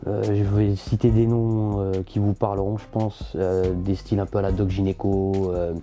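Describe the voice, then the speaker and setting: Young man, rapper guest, mellow dark voice, relaxed and hesitant, faint music behind. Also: fairly low pitch, moderate pace. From France. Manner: relaxed, hesitant with many fillers. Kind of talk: casual interview chat.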